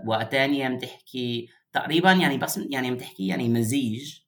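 Young man, Levantine learner accent, hesitant, mid-pitched voice, casual podcast chat. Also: quick pace, fairly bright tone. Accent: mixed Jordanian and Lebanese Arabic, as a learner. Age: young adult. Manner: hesitant, searching for words, mixes in English. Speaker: podcast host, Arabic learner.

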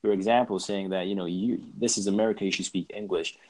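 A man with a fairly low voice talks things through as an interview guest. He is reflective, weighs both sides, and often restarts his sentences while searching for the right words. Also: fairly even intonation, quick pace, warm tone. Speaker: podcast guest. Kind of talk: reflective conversational talk. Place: lives in southern Slovakia.

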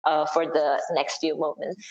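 A woman co-hosting a live podcast in casual chat, warm and playful, teasing her friend. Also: sits low, little pitch movement, a little slow, reverberant, highs cut off. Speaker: podcast co-host.

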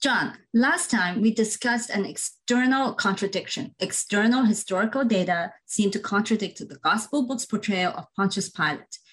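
A composed woman hosts a podcast and introduces her guest and topic. She reads out her prepared questions in an even, orderly way. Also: mid-range voice, moderate pace, few pauses, low-quality recording. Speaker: podcast host. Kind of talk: scripted podcast interviewing.

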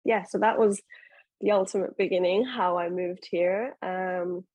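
A woman's voice: lowish voice, steady tone, unhurried, dry recording, lo-fi sound. A young woman from Iran, a podcast guest, chatting casually with many fillers and restarts. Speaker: guest, bikini competitor. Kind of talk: casual interview talk.

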